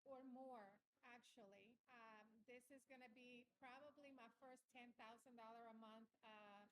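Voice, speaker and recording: A woman's voice: on the high side, steady tone, normal speed, slight background noise, low-quality recording. A woman in her fifties from Honduras, a native Spanish speaker, explaining her work in an upbeat casual interview. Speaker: guest.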